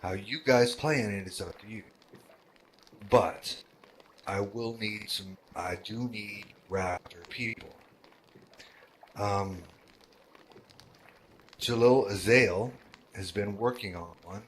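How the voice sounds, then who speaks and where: A man with a deep voice who runs a roleplay world, talking casually to his group, halting, with many fillers and restarts. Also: a little hiss or hum, low-quality recording. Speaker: roleplay game world organizer.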